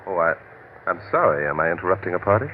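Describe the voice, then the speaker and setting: An actor playing a polite, probing criminologist in classic radio drama style, measured and slyly questioning. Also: male voice, sits low, expressive. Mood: polite, apologetic. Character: calm, probing male criminologist on a killer's trail. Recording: muffled, lightly noisy vintage radio recording.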